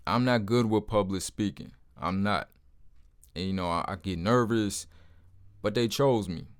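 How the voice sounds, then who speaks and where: A deep-voiced man talks straight to his viewers in a casual, plain-spoken way. His speech is blunt and informal, with words like "man" scattered through. His voice sits low. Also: a little slow, smooth. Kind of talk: casual testimony to camera.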